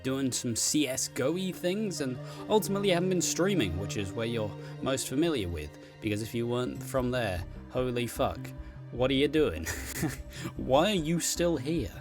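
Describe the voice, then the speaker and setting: Man, mid-pitched voice, casual rambling streamer chat, giggly, self-deprecating, sweary, music behind. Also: animated, moderate pace. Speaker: host, streamer and content creator. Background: background music.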